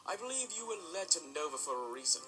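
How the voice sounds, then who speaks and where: An actor playing a stern, older, aristocratic father and magic researcher in an audio drama, speaking formally and deliberately. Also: high-pitched, sing-song, rough recording. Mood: solemn, musing. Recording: music behind the voice.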